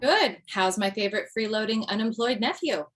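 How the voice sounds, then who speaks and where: A woman's voice: medium pitch, lively intonation, brisk, a bit bright. A woman playing a wry, blunt mother in a comedy script table read. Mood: brisk, exasperated. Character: wry, blunt widowed mother of two, former standup comic. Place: remote video call.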